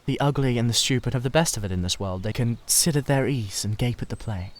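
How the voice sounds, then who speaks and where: A man voicing a sensitive, secretive young painter in a dramatised audiobook, earnest and halting as he confides. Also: mid-range voice, close to an everyday voice. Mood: resigned, brooding.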